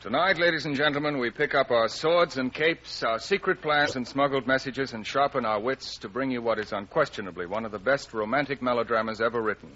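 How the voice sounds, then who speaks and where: A man's voice: medium pitch, fairly quick, hardly any pauses, bright, forceful tone. A man introducing a radio drama in a grand, theatrical scripted style on an old radio recording. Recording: dull, band-limited old radio recording.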